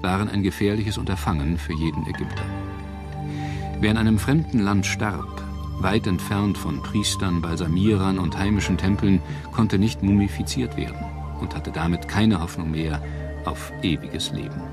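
A man's voice: low voice, moderate pace. A man reading scripted documentary narration as the narrator. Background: background music.

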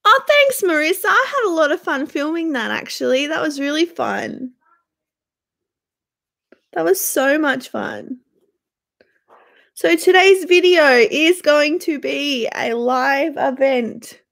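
Young australian woman, high voice, gravelly, unhurried, stuffy sore throat, webcam livestream chat. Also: lively intonation, fairly bright tone. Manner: chatty, greets viewers by name, gushing. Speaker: livestream host. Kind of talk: casual live-stream chat with viewers. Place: small bedroom indoors.

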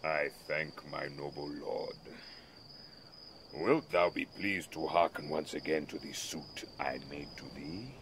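Man as fishy monster servant, low forceful voice, slow, grovelling, resentful, Shakespearean audio drama. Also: steady tone, a little hiss or hum, heavily acted. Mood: servile, resentful. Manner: grovelling, pleading. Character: servile, resentful half-fish monster slave. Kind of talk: Shakespearean stage acting.

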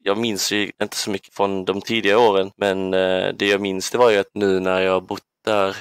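A man's voice: deep voice, flat delivery, clear, resonant tone, no echo, clean studio sound. A man in his twenties from southern Sweden, reminiscing casually as an interview guest in a hesitant, thoughtful way. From Ängelholm, southern Sweden. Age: 20s. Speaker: podcast guest, basketball player.

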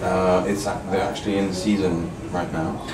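A man's voice: low-pitched, flat delivery, normal speed, noisy background. A man in his thirties who works in a cheese shop, explaining the products in a plain, matter-of-fact way with some hesitation. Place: busy cheese shop, indoors. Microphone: camera mic from a distance. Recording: echoey shop recorded from far off with loud crowd.

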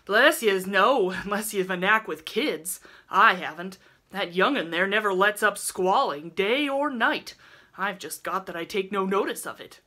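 A woman's voice: medium pitch, measured pace, pushed and bright. Woman putting on a coarse, callous old country woman. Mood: callous, candid. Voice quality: grunting. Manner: rustic dialect, blunt and truculent.

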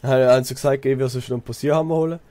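A young man with a Swiss German accent chats casually while gaming. His voice is mellow and relaxed, recorded dry and close, and he rambles through stories, often repeating himself. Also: medium pitch, very fast, no room sound. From Switzerland. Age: young adult. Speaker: let's player, apprentice builder.

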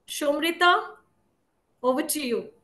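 High-voiced Indian woman, slow formal host. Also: a bit bright. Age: middle-aged. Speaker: session host introducing speakers. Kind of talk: formal introduction read from notes. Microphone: video call. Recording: roomy video-call sound.

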